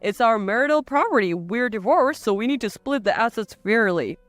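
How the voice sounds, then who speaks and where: A woman's voice: a little high, animated, brisk, no room sound, soft background music, voice pushed down, lightly acted. A woman voicing an arrogant, scornful husband in a dramatized story, with blunt delivery. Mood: angry, indignant. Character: arrogant, scornful adult husband, quick to bluster.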